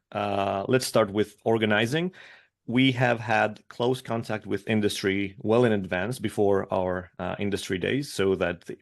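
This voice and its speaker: A man's voice: fairly low pitch, gravelly. A man from Greece giving a webinar presentation over a video call, hesitant with many fillers and restarts.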